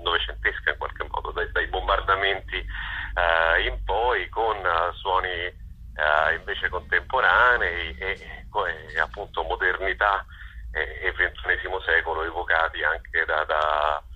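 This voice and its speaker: A man with a low-pitched voice and a very sharp, bright edge answers interview questions. He speaks off the cuff, haltingly, pausing often as he looks for the right word. The tone is noticeably rough and husky. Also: fairly even intonation, dull audio. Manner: hesitant, searching for words with many pauses. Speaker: festival organizer being interviewed. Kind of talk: unscripted interview.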